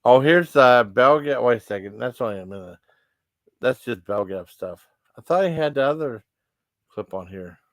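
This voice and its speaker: A man hosting a casual live stream, talking in a halting, repetitive, rambling way that often trails off. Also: mid-range voice, expressive, a bit bright, no echo, clean studio sound.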